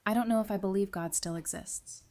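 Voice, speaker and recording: A woman's voice: mid-range voice, a little fast, darkish tone. A woman acting a sincere, caring friend in a fan audio drama. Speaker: voice actor.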